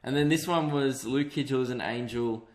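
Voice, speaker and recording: A man hosting a podcast with a high-pitched voice, chatting casually, joking and swearing as he reads out listener reviews. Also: moderate pace, echoey room. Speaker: podcast host. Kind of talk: casual chat.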